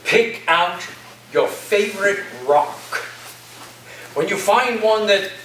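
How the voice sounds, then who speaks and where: A man's voice: high voice, slow pace, a bit bright. A man playing an eccentric college professor in a staged play reading, formal, theatrical and earnest in delivery. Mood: polite, distracted. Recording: echoey room from far off, noisy.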